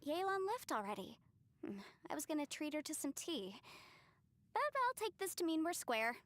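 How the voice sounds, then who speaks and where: A woman's voice: sits high, sing-song, moderate pace, a bit bright. A woman voicing a cheerful, polite, generous young woman in a video game. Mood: friendly. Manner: polite, upbeat.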